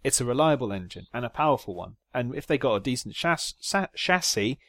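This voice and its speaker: A man's voice: mid-range voice, animated, a little fast, a bit bright, dry recording. A man co-hosting a casual podcast about motor racing, joking and laughing often. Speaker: podcast co-host.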